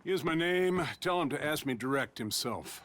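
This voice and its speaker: A man acting an authoritative, worldly-wise gentleman who grants favours, in dramatic video game dialogue. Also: a little high, expressive, normal speed, slight background noise. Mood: assured, kindly.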